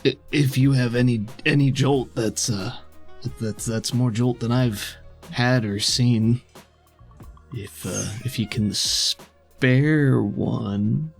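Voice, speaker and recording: A man voicing an eager, shy scrounger in a tabletop game, pleading in a hesitant, halting way. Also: medium pitch, gravelly, faint music behind. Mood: timid pleading.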